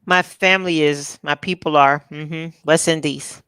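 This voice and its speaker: A woman from Philadelphia chatting casually as a co-host, relaxed and chatty. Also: sits low, clean tone, no room sound, clean studio sound. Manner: relaxed, chatty, drawn-out fillers. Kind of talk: casual chat.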